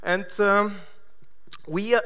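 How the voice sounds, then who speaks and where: A man in his thirties from Bulgaria with a Bulgarian accent, giving a conference keynote with self-deprecating deadpan humor. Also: sits high, slow pace, smooth. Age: 30s. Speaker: conference speaker. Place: large conference hall.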